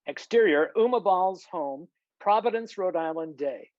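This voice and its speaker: Narrator reading script directions, mid pitch, dry slightly muffled video call sound. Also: animated, unhurried, darkish tone, no echo. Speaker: stage directions reader.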